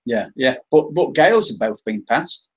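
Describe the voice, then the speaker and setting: Husky english guy chatting on a live stream, lots of stops and restarts, middling pitch. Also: animated, normal speed. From England. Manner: hesitant, repeats words and restarts. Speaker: interview guest. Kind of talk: casual live-stream chat.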